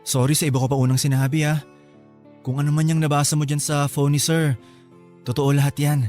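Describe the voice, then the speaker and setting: An actor playing a bashful, gentle young office worker in a radio drama, hesitant in manner. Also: male voice, on the high side, little pitch movement, a little fast, soft background music, natural voice. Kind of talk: Tagalog radio drama acting.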